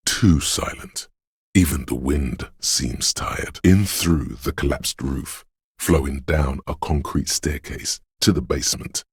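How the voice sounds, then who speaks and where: An actor playing a brooding, all-knowing inner voice in a video game, narrating slowly and poetically in the second person with ominous solemnity. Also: male voice, dry recording, clean studio sound, heavily acted. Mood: grave, ominous. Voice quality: gravelly, husky bass. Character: brooding, omniscient inner voice narrating a city's shivers.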